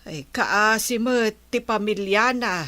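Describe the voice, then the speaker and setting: Slow, curious village neighbor. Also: female voice, medium pitch, bright, forceful tone. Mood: curious, sympathetic. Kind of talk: radio drama acting.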